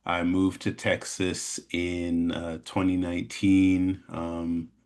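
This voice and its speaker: Very low, even-toned American man. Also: takes it slowly. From Chicago, American Midwest. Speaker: interview guest, animation studio founder. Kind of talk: casual chat, telling his story.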